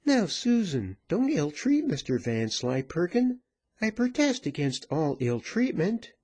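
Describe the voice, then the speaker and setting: A prudent, bossy storybook woman, put on by a man. The pitch is lifted and a little husky. The delivery is firm and admonishing, keeping rowdy companions in check. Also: sing-song, measured pace, mellow. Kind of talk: dramatized storybook reading.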